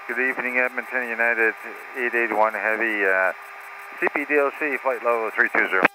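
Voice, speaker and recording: A man's voice: medium pitch, steady tone, brisk. Male airline pilot over noisy radio. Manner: clipped, formulaic phrasing. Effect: aircraft radio. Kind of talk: radio callouts to air traffic control. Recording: noisy aircraft radio transmission.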